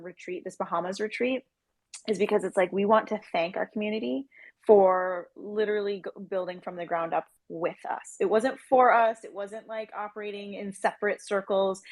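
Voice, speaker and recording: A woman's voice: medium pitch, dry recording. A woman chatting casually as an interview guest, effusive and emphatic. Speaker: guest, project founder.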